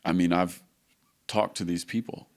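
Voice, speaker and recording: A man with a fairly low voice hosts a casual podcast interview. He asks his questions plainly and keeps the talk going with quick, affirming replies. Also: steady tone, darkish tone. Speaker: podcast host.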